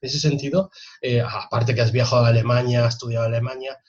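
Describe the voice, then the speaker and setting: A man's voice: mid-range voice, level tone, quick pace, a little mellow. Slightly muffled man hosting an interview. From Spain. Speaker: interviewer, host. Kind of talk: semi-formal conversational interview.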